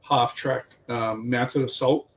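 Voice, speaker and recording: A man with a gravelly, mid-pitched voice explains things casually as a hobby guest, doubling back on his words. He sounds off-mic, with faint noise behind him. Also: steady tone, slow, distant mic.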